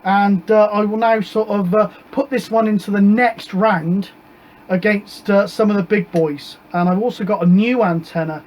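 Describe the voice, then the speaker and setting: A man's voice: sits high, steady tone, normal speed, a little mellow, poor audio. A British man giving a casual, explanatory hobby vlog in a hesitant, rambling, informal way. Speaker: amateur radio hobbyist presenting antenna tests.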